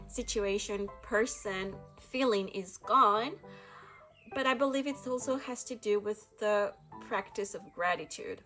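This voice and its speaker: A woman's voice: mid-range voice, a bit bright. A woman from Guatemala, hosting a casual spiritual talk to camera, reflective, with frequent laughs and restarts. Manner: reflective, laughs often, many fillers and restarts. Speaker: spiritual channel host.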